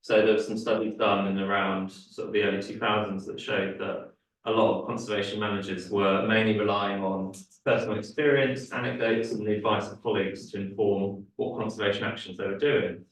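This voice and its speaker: A man's voice: fairly low pitch, flat delivery, a little fast. A young man giving a seminar talk, hesitant with many fillers and restarts, losing his voice a little. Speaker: guest speaker. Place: lecture room. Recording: echoey room, speaker far from mic.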